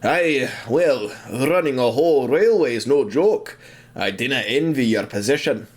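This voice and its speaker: A man voicing a big, kindly old tank engine with a Scottish accent, blunt and deliberate, in an audio drama. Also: medium pitch, lively intonation. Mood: good-natured. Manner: forward, deliberate, good-natured.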